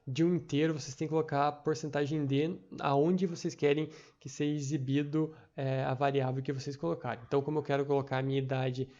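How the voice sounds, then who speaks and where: Halting young man with a gravelly voice. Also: on the high side, little pitch movement, mellow. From Brazil. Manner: halting, many fillers and restarts. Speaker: tutor. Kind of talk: screen-recorded programming lesson. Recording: dull lo-fi mic.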